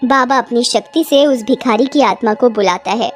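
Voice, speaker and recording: A woman's voice: a little high, normal speed. A woman reading scripted narration for a cartoon story, with music behind her.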